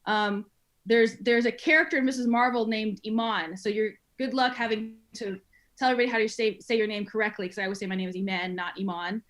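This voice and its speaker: Excited woman filmmaker in a podcast chat. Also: a little high. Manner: enthusiastic, fast, with laughs. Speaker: filmmaker guest. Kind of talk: casual podcast interview.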